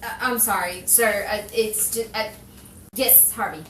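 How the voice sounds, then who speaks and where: A woman playing a plucky, eager, excitable young newspaper reporter in a 1930s-style radio drama. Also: medium pitch, a little slow, distant mic, lightly acted. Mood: flustered, eager. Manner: flustered, stammering, excitable. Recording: echoey room with faint noise.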